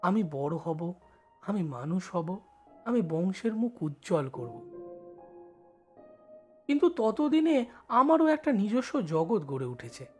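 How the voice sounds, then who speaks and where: A man playing a village schoolboy who studies in the city, telling his story in expressive first-person narration. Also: high voice, exaggerated voice. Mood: reflective. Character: village schoolboy studying in Kolkata, recalling his life.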